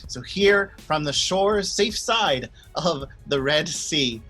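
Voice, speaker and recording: A priest tells a children's Bible story in a friendly, expressive manner. His voice is bright and a little high, and he takes his time. Soft music plays faintly in the background. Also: slow.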